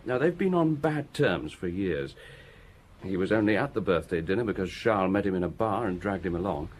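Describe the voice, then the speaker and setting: A man's voice: low-pitched, animated, a little fast, slight background noise. An actor playing a diligent local policeman in a radio drama, reporting in a dry, matter-of-fact way.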